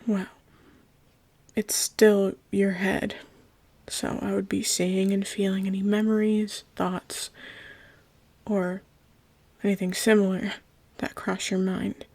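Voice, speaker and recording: A woman playing a gentle, patient trapped god in an ASMR roleplay, speaking in a breathy, often whispered voice with careful reassurance. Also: mid-range voice, little pitch movement. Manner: slow, careful, reassuring explaining. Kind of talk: ASMR roleplay, scripted intimate monologue.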